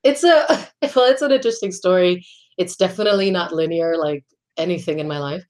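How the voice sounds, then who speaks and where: A middle-aged woman from Puerto Rico speaks in a fairly low voice, answering questions with thought and care. She often restarts her phrases and laughs lightly between ideas. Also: fairly quick, a little mellow. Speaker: guest architect. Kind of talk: conversational interview answers.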